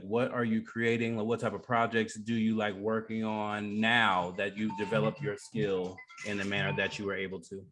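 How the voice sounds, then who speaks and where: A man with a fairly low voice hosts a casual radio interview. He puts his questions informally, slipping into slang as he addresses guest and listeners. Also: a bit bright. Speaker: radio show host, interviewer.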